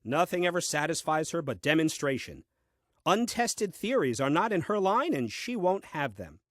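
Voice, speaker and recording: An actor playing a literal-minded, puzzled and grumbling Adam in a comic diary-style audio play, with a deliberate, dryly pedantic delivery. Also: male voice, a little high, sing-song, fairly bright tone, no echo. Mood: wry admiration. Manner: deliberate, theatrical, dry and pedantic.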